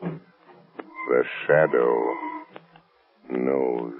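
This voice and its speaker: Very deep, slow, sinister phantom avenger. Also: male voice, fairly even intonation, exaggerated voice. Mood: taunting, ominous. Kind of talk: 1930s-40s radio drama acting. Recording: old dull radio recording with music.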